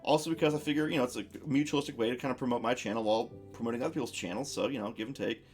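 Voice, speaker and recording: A man's voice: medium pitch, rapid-fire, darkish tone, faint music behind. A young man streaming and chatting casually, musing aloud with lots of restarts and fillers. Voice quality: masculine voice. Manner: rambling, reflective, many restarts and fillers.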